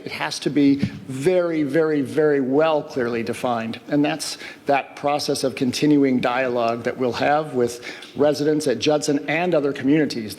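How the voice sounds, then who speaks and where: Man, slightly high voice, articulate panelist, formal emphatic phrasing, long hall reverb. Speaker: panelist, researcher.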